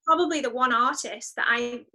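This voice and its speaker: A woman with a fairly high, very bright voice chats as a podcast guest. She thinks aloud in a reflective, enthusiastic way, keeping the conversation casual. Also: brisk. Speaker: interview guest, musician.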